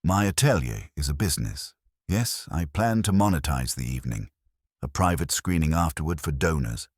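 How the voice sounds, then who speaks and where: A man plays a pretentious male artist in a mystery story. His voice is very low and lively in pitch, with smug, theatrical self-justification in every phrase. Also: normal speed, husky, no room sound, studio quality, heavily acted.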